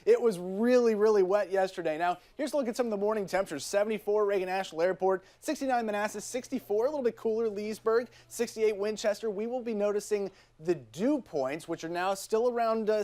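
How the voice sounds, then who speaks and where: Casting: American TV weatherman, high-pitched male voice, talking through the forecast off the cuff. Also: darkish tone.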